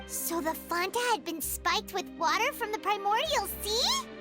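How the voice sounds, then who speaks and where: A woman voicing a small, chirpy, excitable fairy companion in a video game, with a squeaky childlike voice and exclaiming manner. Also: moderate pace, over music. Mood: curious. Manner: speaks of herself in third person, exclaiming.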